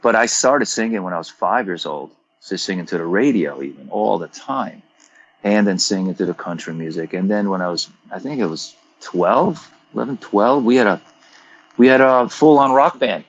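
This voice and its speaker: A fairly low-voiced man in his fifties, originally from Croatia, talking as an interview guest and animatedly reliving old stories. His voice comes over a dull, muffled, lo-fi line that sounds like a phone call. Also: normal speed. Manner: animated storyteller, swearing, acting out quotes. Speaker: rock singer, interview guest.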